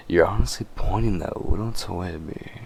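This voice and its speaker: A man's voice: sits low, gravelly, dark tone, slight background noise, exaggerated voice. A man voicing a possessive, condescending vampire in a dramatic audio roleplay, with a smug, teasing manner. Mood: smug, taunting. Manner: slow, teasing, smug, often chuckling. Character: possessive, condescending male vampire, obsessed and menacing.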